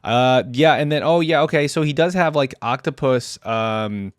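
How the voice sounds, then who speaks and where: A man's voice: mid-range voice, moderate pace, smooth, no room sound, clean studio sound. A man hosting a video game reaction, talking informally and conversationally as he thinks aloud. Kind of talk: casual reaction commentary.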